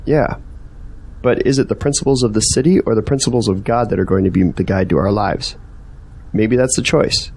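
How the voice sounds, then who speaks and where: A man's voice: on the low side, fairly quick, creaky, darkish tone, slightly rough. Earnest man, searching and persuasive, questioning manner, audio drama dialogue, acted by a man. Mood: earnest, resolute.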